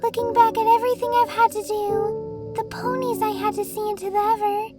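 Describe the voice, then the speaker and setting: A very high, girlish and gentle pony mare, speaks with soft, even melancholy. She is a weary, kind figure of Death in an audio drama, heard a little distant over loud music. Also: brisk. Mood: gentle, resigned. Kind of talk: audio drama character dialogue.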